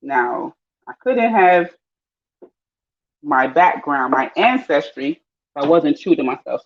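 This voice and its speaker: A woman with a Panamanian accent, chatting casually with her viewers while she cooks, lively and full of slang. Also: slight background noise. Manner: lively, chatty, slangy, swings high when excited. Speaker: vlogger. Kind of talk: casual live-stream chat while cooking. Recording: dull lo-fi audio.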